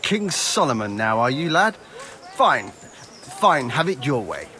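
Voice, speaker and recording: A man's voice: mid-range voice, sing-song, measured pace, sharp tone, slight background noise. A man voicing a scornful, grumbling medieval armourer in a video game, irritable and indignant. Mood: grudging, sarcastic. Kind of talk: dramatic game cutscene dialogue.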